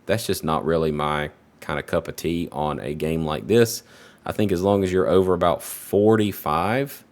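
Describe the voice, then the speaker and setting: Man, very deep voice, laid-back rambling benchmark commentator, slightly off from recent illness. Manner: relaxed, rambling, many fillers. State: recovering from a virus, voice slightly off. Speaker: PC hardware benchmark creator. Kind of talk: casual gameplay benchmark commentary.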